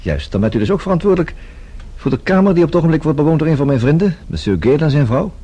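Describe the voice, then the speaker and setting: An actor playing a suave gentleman in a radio play, making discreet inquiries in a polite, slightly hesitant manner. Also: a little high, lively intonation, racing. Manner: polite, hesitant, smooth-talking. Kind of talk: radio drama acting.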